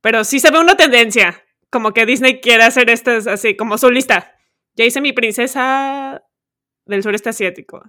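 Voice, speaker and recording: A woman hosts a podcast in a relaxed, thoughtful way. She lists examples one after another and lets some sentences trail off. Her voice is bright and sits a little high. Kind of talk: casual podcast conversation.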